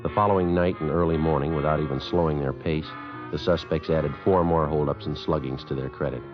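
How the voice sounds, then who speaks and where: A very deep-voiced American police sergeant, tells his case in terse, flat, matter-of-fact sentences. It is 1950s radio drama, with a dull vintage sound and faint music behind. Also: a little fast. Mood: deadpan. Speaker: lead actor and narrator of a police radio drama. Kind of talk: 1950s radio drama acting, clipped scripted narration.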